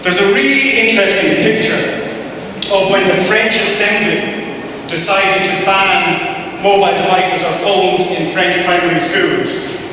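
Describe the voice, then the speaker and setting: Echoey, high-pitched Irish man presenting. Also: measured pace, bright, forceful tone. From west of Ireland. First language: Irish Gaelic. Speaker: university lecturer. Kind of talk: conference presentation to an audience. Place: large reverberant presentation room. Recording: very echoey hall, distant mic, faint noise.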